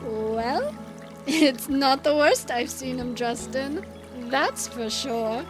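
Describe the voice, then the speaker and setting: A woman's voice: sits high, lively intonation, slow, over music. A woman playing a playful but respectful ally of a princess in an audio drama. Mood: teasing.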